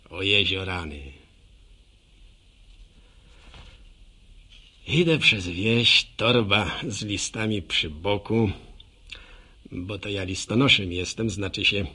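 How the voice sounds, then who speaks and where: An actor playing an ageing, self-mocking village postman who tells his story to radio listeners in a raspy, rambling, folksy way. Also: lowish voice, pushed and bright. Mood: wry confession. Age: older. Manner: colloquial rustic storytelling, rambling, wry. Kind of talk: radio drama monologue addressed to listeners.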